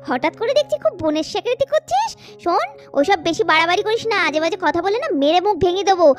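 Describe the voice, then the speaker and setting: A woman voicing a little schoolgirl doll in a children's cartoon, chatty and bickering in a childish, colloquial way. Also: very high-pitched. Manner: childish, colloquial scolding and teasing.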